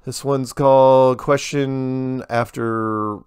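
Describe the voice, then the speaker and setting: A man's voice: medium pitch, fairly even intonation, takes it slowly, darkish tone, smooth, no room sound, clean studio sound. A man hosting a show, reading listener emails aloud and commenting casually with wry asides.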